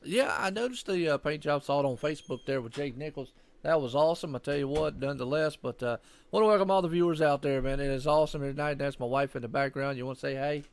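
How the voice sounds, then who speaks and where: Folksy, chatty male racing co-commentator, higher-pitched. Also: quick pace, smooth. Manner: folksy, rambling, says "man" and "y'all" often. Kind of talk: casual, chatty live sports commentary.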